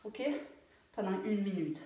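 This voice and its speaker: A French fitness coach talking through a home workout, with many hesitations and fillers. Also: female voice, mid-range voice, unhurried, mellow. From France. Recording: echoey room, voice far from mic.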